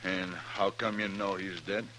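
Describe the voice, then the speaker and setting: A hard, stubborn rancher from an old radio western, talking bluntly in folksy frontier speech. His voice is bright and forceful, on a slightly noisy vintage recording. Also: low voice, fairly even intonation, unhurried. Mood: shaken. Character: hard, hot-tempered Texas rancher father, stubborn and straight. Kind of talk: 1950s radio western acting.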